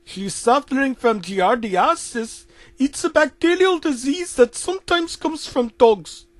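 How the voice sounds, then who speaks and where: A man's voice: extremely high pitch, animated, a little slow, no echo, voice pushed up. A man voicing a resigned cartoon doctor with an Indian accent. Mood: matter-of-fact. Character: resigned cartoon doctor, male, delivering grim news.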